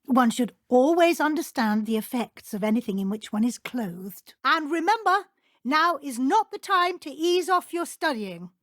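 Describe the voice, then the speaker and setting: Game role: British schoolmistress witch, high-pitched, formal and precise, caring but brisk, recorded very dry. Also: animated, a bit bright, dry recording. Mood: instructive. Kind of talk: scripted video game character dialogue.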